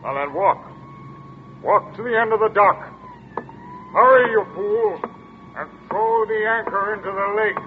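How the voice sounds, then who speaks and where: A cold hypnotist villain gives slow, measured orders in a high, thin voice. It is 1940s radio acting, heard through a tinny, lo-fi broadcast recording with a faint hiss behind it. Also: male voice. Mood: commanding.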